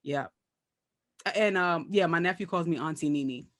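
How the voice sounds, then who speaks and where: A woman with a Brooklyn accent, a comedian guest chatting casually and telling animated stories with frequent laughter. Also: fairly low pitch, normal speed, no room sound, studio quality. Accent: Brooklyn, New York. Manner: laughs often, animated storytelling. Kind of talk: casual interview chat.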